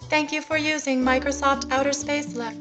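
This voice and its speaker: A woman voicing a precise, condescending female ship's computer with formal, measured machine phrasing in a comic sci-fi radio serial. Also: high voice, little pitch movement, over music, natural voice. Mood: coolly formal.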